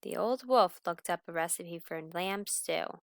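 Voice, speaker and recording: Slow, clear woman reading a children's story. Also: fairly low pitch, no echo, studio quality. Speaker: storyteller reading a picture book aloud. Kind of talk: expressive scripted children's story narration.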